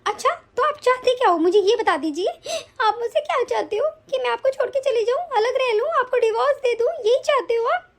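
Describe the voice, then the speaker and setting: A woman's voice: very high voice, a little fast, hardly any pauses. A woman playing a wronged but defiant young wife, pleading indignantly in a family melodrama. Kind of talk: domestic family melodrama acting.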